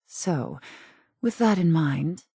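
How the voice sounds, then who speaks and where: A cold, calculating female raider leader for a video game. Her voice is low and mellow with a heavy fry, recorded very dry. She speaks formally and with measured composure, every line carrying quiet menace. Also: animated, dry recording, exaggerated voice. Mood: cool, measured. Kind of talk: video game character voice acting.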